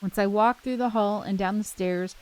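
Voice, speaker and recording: A woman's voice: medium pitch, steady tone, moderate pace, darkish tone, clear, resonant tone, poor audio. A woman hosting a let's play, switching between casual chat and reading a visual novel's text aloud. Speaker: host of a gaming channel. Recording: music playing behind the voice. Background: game soundtrack music.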